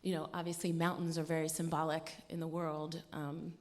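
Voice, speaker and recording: A female musician speaking as an interview guest, earnest and expressive in loose conversational phrases, recorded live on stage. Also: low-pitched, a little fast. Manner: earnest, expressive, conversational with frequent "you know". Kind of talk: informal interview talk. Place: stage of a live radio show. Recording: live hall with long reverb.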